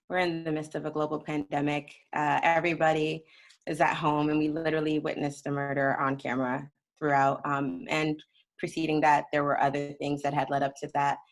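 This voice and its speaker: Panelist, woman from Ghana, very low flat gravelly voice, analytical. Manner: articulate and analytical. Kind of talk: panel discussion.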